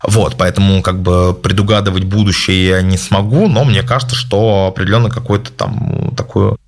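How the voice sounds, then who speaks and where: Man, fairly low pitch, casual Q&A host, measured opinions, lots of hesitant fillers. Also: fairly even intonation. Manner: hesitant, full of drawn-out filler sounds. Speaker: host answering viewer questions. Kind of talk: casual spoken Q&A.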